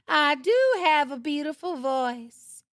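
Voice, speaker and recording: A woman's voice: high voice, sing-song, slow, dry recording, studio quality, voice pushed up, full character voice. A woman voicing a vain, proud female crow in a storybook reading. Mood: defiant. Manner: boastful, prideful.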